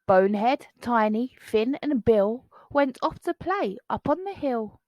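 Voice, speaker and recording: Expressive, high-pitched woman reading a storybook. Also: measured pace, no room sound, lo-fi sound. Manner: dramatic, rhythmic, singsong. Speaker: children's book reader. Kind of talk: expressive storybook read-aloud.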